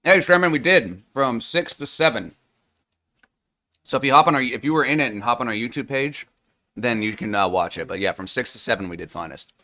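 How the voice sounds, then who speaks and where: A young adult man with a hoarse, fading voice, hosting a live card-opening stream in a chatty, upbeat way with lots of fillers. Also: medium pitch, animated, a little fast, bright, forceful tone. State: hoarse, losing his voice. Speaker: live card-break host. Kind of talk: livestream sales chat.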